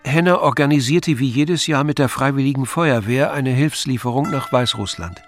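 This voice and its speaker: A man's voice: medium pitch, normal speed. A man reading scripted narration for a radio drama. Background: music.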